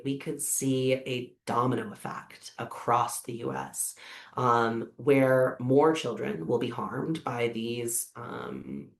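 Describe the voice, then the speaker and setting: Very deep, creaky woman, roomy sound. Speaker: interviewee. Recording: roomy, echoing interview sound.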